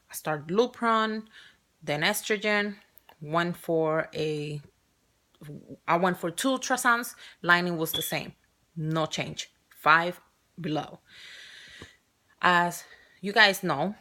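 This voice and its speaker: Woman w/ strong spanish accent vlogging, fairly low voice, chatty, keeps correcting herself. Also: pushed and bright. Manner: chatty and open, with frequent restarts and self-corrections. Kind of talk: casual personal vlog.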